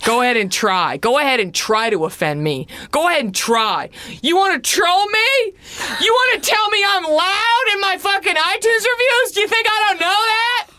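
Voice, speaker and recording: A woman hosting a podcast in casual chat, with a deep, loud voice and a brash, animated way of talking, swearing freely. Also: normal speed, a little hiss or hum. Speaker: podcast host.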